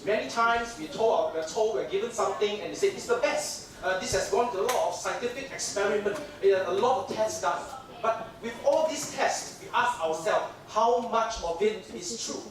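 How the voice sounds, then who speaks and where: A man's voice: high-pitched, moderate pace, hardly any pauses, crowd noise. A man from Singapore with a Singaporean English accent giving a conference lecture on dentistry, chatty and joking with lots of fillers, jet lagged. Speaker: dental lecturer. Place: large lecture hall. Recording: echoey hall mic from far off, audience noise.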